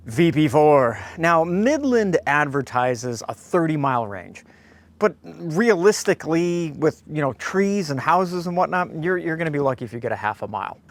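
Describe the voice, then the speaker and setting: Blunt American man reviewing gear. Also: on the high side. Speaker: host of a radio review. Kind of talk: product review talk to viewers.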